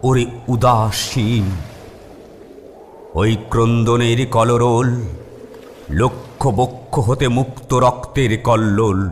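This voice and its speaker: A man reciting poetry dramatically, in a solemn, declamatory manner with measured pauses. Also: medium pitch. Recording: long hall-like reverb. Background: music.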